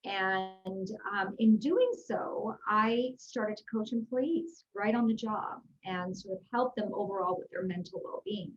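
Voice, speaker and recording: A woman's voice: medium pitch, unhurried, few pauses, dull audio. A woman speaking as an interview guest, answering in an upbeat, earnest, conversational way. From Houston, Texas, United States. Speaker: guest, executive and life growth coach. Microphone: video call.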